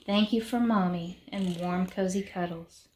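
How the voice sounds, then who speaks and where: A woman reading a rhyming children's picture book aloud in short, measured phrases with pauses. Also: fairly low pitch, fairly even intonation, darkish tone, low-quality recording. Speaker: reader of a children's story. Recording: background noise with clicks.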